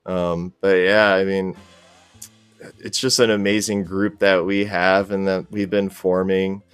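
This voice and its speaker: A man's voice: low voice, little pitch movement, measured pace, smooth, dry recording, faint music behind. A man speaking as a podcast guest in casual, easygoing, appreciative conversation. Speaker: podcast guest, cosplay group organizer. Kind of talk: casual interview chat.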